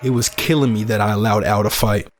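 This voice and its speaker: A man's voice: lowish voice, fairly even intonation, fast talker, some chatter behind, natural voice. A man acting in an audio drama as an earnest, solemn, guilt-ridden man of faith. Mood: guilty. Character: Jordan, guilt-ridden devout man working at a deadly fight ring. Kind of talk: audio drama acting with inner monologue and prayer.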